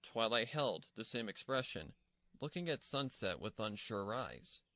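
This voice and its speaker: A man's voice: lowish voice, big pitch swings, unhurried, pushed and bright, dry recording. A man narrating a scripted fan fiction story as a storybook narrator.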